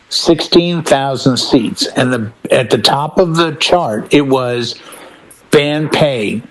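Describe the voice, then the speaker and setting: A man's voice: medium pitch, low-quality recording. A man in a casual panel chat, telling anecdotes and laying things out step by step with emphasis. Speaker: DJ and podcast panelist.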